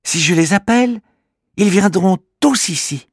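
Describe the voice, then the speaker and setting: A man voicing a little green living creature in a children's storybook, talking in a sincere, gently indignant way. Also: high-pitched, animated, measured pace, husky, no echo, studio quality, pitched above the performer's own voice, full character voice.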